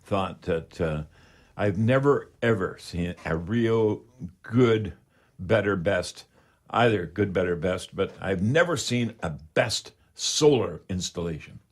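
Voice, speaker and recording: A man's voice: low voice, animated, slow. An older Canadian man, sixty or over, hosting a home improvement show in a chatty, folksy, rambling way with catchphrases.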